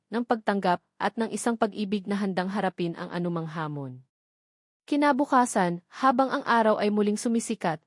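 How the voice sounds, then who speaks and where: A woman narrating a romance story in a soft, heartfelt, measured way, then thanking her listeners as the author. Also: medium pitch, no echo, clean studio sound.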